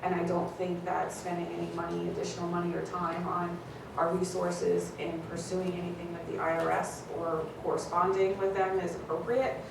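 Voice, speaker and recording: A woman's voice: fairly low pitch, completely flat delivery, vocal fry. A woman council member speaking hesitantly at a township meeting, full of fillers and restarts. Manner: hesitant, filler-heavy, measured. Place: council chamber. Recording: echoey distant room mic with hiss.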